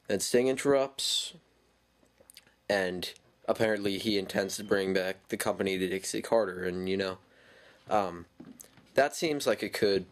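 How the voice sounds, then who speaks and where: A man with a slightly low voice and a stuffy nose from a cold gives a casual spoken review. He rambles, fills gaps with ums, and corrects himself mid-sentence, keeping an easygoing pace. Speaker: wrestling show reviewer.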